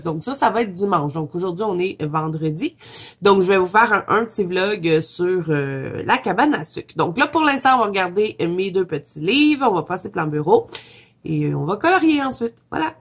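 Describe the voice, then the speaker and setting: A woman with a Québécois French accent, stuffy from a cold, chatting casually as a coloring vlogger, filling pauses with "euh" and soft giggles. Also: mid-range voice. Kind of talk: casual chat flipping through a coloring book.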